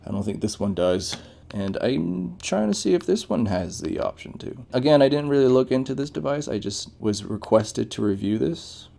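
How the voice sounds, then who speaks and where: A man's voice: medium pitch, lively intonation, moderate pace, gravelly, dark tone. A man giving casual, off-the-cuff first impressions as a gadget reviewer, thinking aloud as he goes. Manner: off-the-cuff, thinking aloud while reading out menus.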